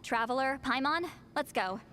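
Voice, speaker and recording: Prickly, high-voiced ancient shaman woman. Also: normal speed, sharp tone, slight background noise. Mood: curt, wistful. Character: ancient but youthful-sounding female shaman, curt, prickly and aloof. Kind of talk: video game voice acting.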